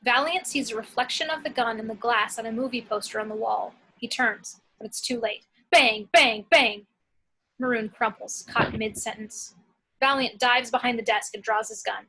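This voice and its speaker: A woman's voice: fairly high pitch, normal speed, sharp tone, low-quality recording. A woman reading screenplay action and scene headings as scripted narration.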